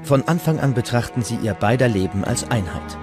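A man's voice: medium pitch, lively intonation, a little fast. A man narrating a historical documentary from a script. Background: music.

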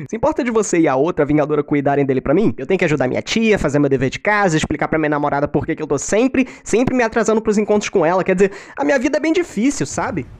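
Man as a high, animated young wisecracking superhero. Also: fast talker. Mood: playful. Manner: joking, casual, animated. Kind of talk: fan dub of a video game.

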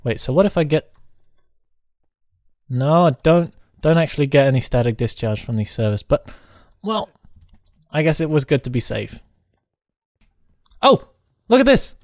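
A man chatting casually and excitably while playing a co-op game, joking and exclaiming as he goes. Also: on the high side, normal speed, pauses often, no room sound, clean studio sound. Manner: jokey, exclaiming. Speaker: gamer playing a co-op roleplay map. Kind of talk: excitable casual gameplay chat.

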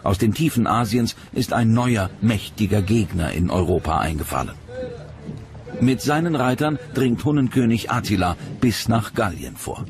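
A man reading scripted documentary narration in a measured, dramatic storytelling manner. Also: lowish voice, expressive, gravelly. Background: music under the narration.

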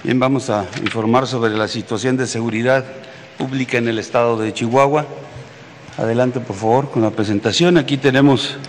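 A middle-aged man with a Mexican accent gives a formal briefing as an official, haltingly and with frequent hesitations. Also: mid-range voice, fairly even intonation. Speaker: military official giving a security briefing. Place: large indoor hall at a press conference. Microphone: podium microphone. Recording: echoey hall with background noise.

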